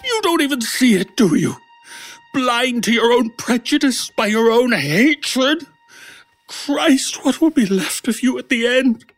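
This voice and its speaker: A man acting in an audio horror drama as a grieving, protective shopkeeper, with a husky voice and an emotional, reproachful manner. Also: very high-pitched, expressive, unhurried, fairly bright tone, exaggerated voice. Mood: bitter, reproachful. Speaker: voice actor. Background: music.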